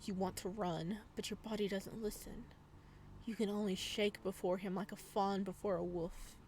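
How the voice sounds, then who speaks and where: A woman's voice: mid-range voice, steady tone, normal speed, darkish tone, slight background noise. A woman gaming YouTuber reading visual novel text aloud, mixed with casual commentary.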